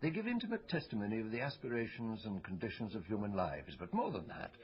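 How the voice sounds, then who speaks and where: A man's voice: on the low side, very animated, fast talker. Musing, slightly pompous male doctor. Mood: lofty, reflective. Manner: reflective, slightly pompous, digressive. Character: educated, musing male doctor and lone amateur investigator. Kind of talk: naturalistic radio drama acting. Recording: dull lo-fi radio recording.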